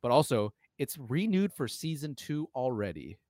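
A man with a fairly ordinary mid-pitched voice co-hosts a casual podcast. He talks with emphatic enthusiasm, leaning on slang and the odd swear word. The recording is dry, with hardly any room sound. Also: sing-song, normal speed, a little mellow, no room sound. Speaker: podcast co-host. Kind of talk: casual conversational film and game chat.

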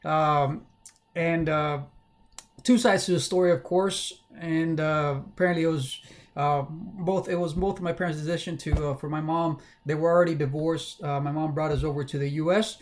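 A young man from South Texas talks candidly in a casual livestream monologue, halting often with fillers and restarts. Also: fairly high pitch, steady tone. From South Texas, USA. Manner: candid, personal. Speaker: livestream host.

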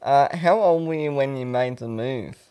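A man co-hosting a podcast, asking measured questions. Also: mid-range voice, dark tone, smooth. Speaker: podcast co-host. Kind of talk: casual podcast interview.

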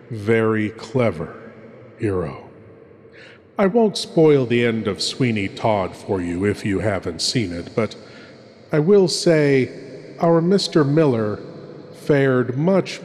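A man playing a sinister gentleman horror host, theatrical and scripted, with drawn-out dramatic pauses. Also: medium pitch. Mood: sly relish, then wry, ominous. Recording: long cavernous reverb.

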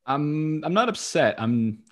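Man with lively, swinging intonation, joking. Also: a little high, takes it slowly. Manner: joking, slangy. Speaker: sports podcast host. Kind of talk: casual sports banter.

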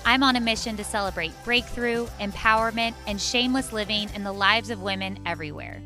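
A woman's voice: medium pitch, a little fast, pushed and bright, faint music behind. A woman reading a polished, upbeat scripted intro to her podcast. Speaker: podcast host.

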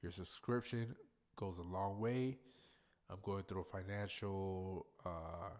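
A man's voice: deep voice, fairly even intonation, takes it slowly, a little mellow. Congested, nasal male podcast host. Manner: rambling, profane, loose and chatty. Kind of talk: casual solo podcast monologue.

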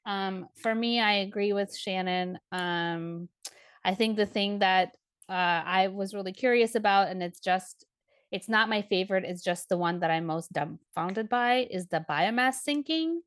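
A woman from Ukraine, a podcast panelist chatting casually, animated and full of fillers. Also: medium pitch, measured pace, clean tone, no room sound, clean studio sound.

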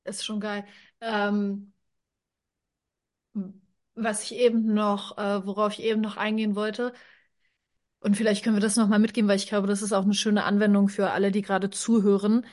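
Woman, podcast guest, casual chat, thinking out loud, fillers and restarts. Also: mid-range voice, little pitch movement, normal speed, long pauses. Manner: thoughtful, explains with many fillers and restarts.

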